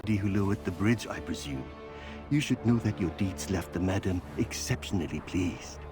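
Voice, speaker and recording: An actor playing a stiff, officious palace butler in a game cutscene, formal and pompous. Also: male voice, low-pitched, moderate pace, lightly acted. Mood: officious, scheming. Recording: music behind.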